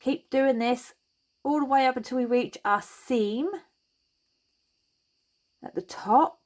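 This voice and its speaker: A woman hosting a crochet tutorial, chatty and reassuring as she talks through each step, her voice a little croaky. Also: sits high, slow, a little mellow. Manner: chatty and reassuring, thinks aloud while working. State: slightly croaky voice.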